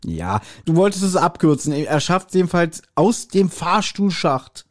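A man's voice: a little high, big pitch swings, unhurried. A man co-hosting a podcast, recapping a story in casual, conversational talk and often checking for agreement. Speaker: podcast co-host. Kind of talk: casual chat recapping an audio drama.

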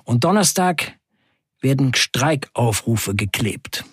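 A man playing a defiant factory worker in an audio drama, narrating in the first person with emphatic, measured delivery and a husky voice. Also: medium pitch, animated, no room sound, clean studio sound. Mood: grim, resolute. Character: defiant male Turkish factory worker recounting a wildcat strike.

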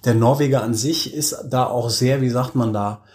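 A man's voice: mid-range voice, normal speed, a little mellow. A middle-aged man from Hesse, Germany, chatting casually and with easy humour as an interview guest. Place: hotel room.

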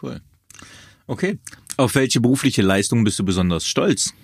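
A man's voice: mid-range voice, very animated, moderate pace, husky, no echo. A man hosting a podcast interview, chatty and hesitant, with many fillers as he asks questions. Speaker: podcast host.

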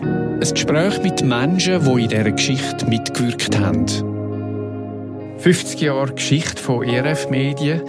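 A man with a Swiss German accent hosting a podcast interview in a measured, explanatory way. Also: medium pitch, animated, loud music behind the voice.

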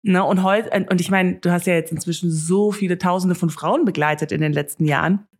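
A woman's voice: on the low side, fairly even intonation, fairly quick, husky. A woman from Namibia, bilingual in German and English, hosting a casual podcast conversation and thinking aloud with restarts and English phrases. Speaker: podcast host, life coach.

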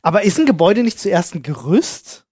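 Young male co-host, high voice, casual slangy joking, dry studio-like sound. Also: big pitch swings, a little fast, darkish tone, husky, dry recording. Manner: slangy, mixes in English words. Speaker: podcast host.

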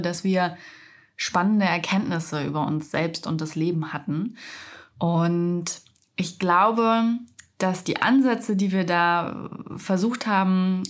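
A young woman hosting a reflective solo podcast, speaking thoughtfully with frequent pauses and soft fillers; she has a cold, so her voice is slightly stuffy and nasal. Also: on the low side. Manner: slow, thoughtful, pausing often with soft fillers. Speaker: podcast host.

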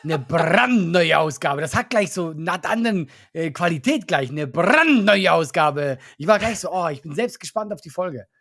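Husky-voiced man, hesitant. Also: on the high side, expressive, normal speed, hardly any pauses, a bit bright. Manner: hesitant, restarts, up-and-down intonation. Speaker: podcast co-host. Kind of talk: casual chat. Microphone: studio microphone.

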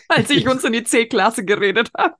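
A woman co-hosting a casual podcast, reading quiz hints slowly and deliberately. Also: on the high side, animated, a bit bright, dry recording, studio quality. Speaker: podcast co-host.

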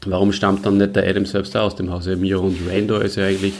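A man's voice: low voice, little pitch movement, fast talker, darkish tone, slight background noise. A middle-aged Austrian man co-hosting a podcast, reminiscing in casual, conversational speech. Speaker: podcast co-host.

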